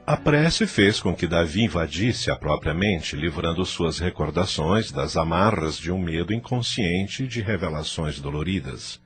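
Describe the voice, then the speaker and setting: A man's voice: deep voice, expressive, a little slow, few pauses, faint music behind. A man with a Brazilian accent narrating a scripted audio novel.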